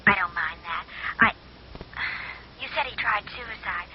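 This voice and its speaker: A woman's voice: medium pitch, a little slow, a crowd in the background, natural voice. Lady playing a sad guilty woman in old radio noir, subdued n hesitant, muffled phone sound. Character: subdued, guilt-ridden, sleepless woman, gentle and compliant. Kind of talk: 1950s radio drama acting. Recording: dull vintage radio telephone sound.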